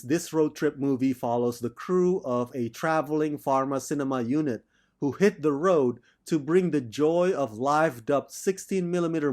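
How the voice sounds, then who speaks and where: A man delivering a measured, enthusiastic film review monologue, laughing now and then. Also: medium pitch, a little mellow. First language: not Thai.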